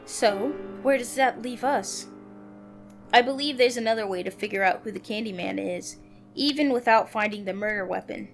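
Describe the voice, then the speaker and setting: A woman's voice: medium pitch, moderate pace. A woman reading a visual novel aloud, moving between narration and character dialogue, with music playing behind her. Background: background music.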